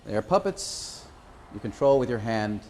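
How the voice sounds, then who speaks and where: A man giving an informal explanation as a puppeteer presenting a show, pausing and hesitating as he goes. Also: medium pitch, big pitch swings, darkish tone, slight background noise.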